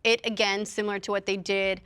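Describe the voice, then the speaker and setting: A woman's voice: medium pitch, little pitch movement, brisk, a bit bright, clear, resonant tone. A woman on a discussion panel, giving her opinions in a casual, explanatory way. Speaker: panelist on a film and gaming discussion show.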